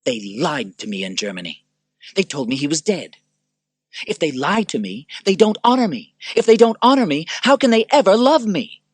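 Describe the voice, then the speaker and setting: A man voicing an unstable young emperor, with a high, strained voice that builds to angry outbursts. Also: very animated, moderate pace, long pauses, a bit bright, breathy edge, dry recording. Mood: aggrieved. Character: young male emperor, unstable, seething rage.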